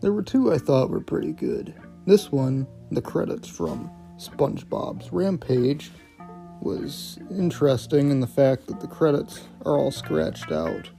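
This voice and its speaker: A man's voice: medium pitch, very animated, unhurried, gravelly, mellow, soft background music. A man hosting a casual video essay on horror clips, speaking in an informal, opinionated way with plenty of swearing. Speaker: host of a video series reviewing analog horror.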